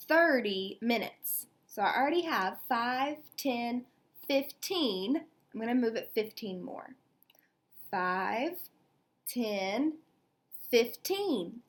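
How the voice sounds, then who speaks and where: A woman with a high voice reads aloud as an elementary school teacher. She takes her time, moving slowly and deliberately as she explains each step. Her pitch moves around a lot, giving the storytelling an animated lift.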